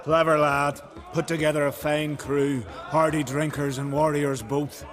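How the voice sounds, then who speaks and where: Male actor as fantasy game innkeeper, fairly high pitch, folksy storyteller, hearty islander. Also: measured pace, lightly acted. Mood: amused, chatty. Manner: folksy, animated storytelling with archaic dialect words. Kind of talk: fantasy video game dialogue acting.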